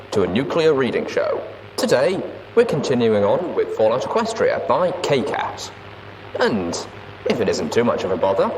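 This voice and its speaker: A man's voice: mid-range voice, noisy background. A man hosting an audiobook podcast, giving a friendly introduction. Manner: friendly, lively sign-on.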